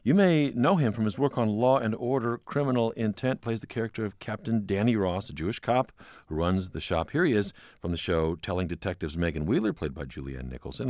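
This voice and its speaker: A man hosting a public radio call-in show from the studio, measured when scripted and stumbling on questions. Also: lowish voice, expressive, a little mellow, no room sound. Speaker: radio talk show host. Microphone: studio microphone.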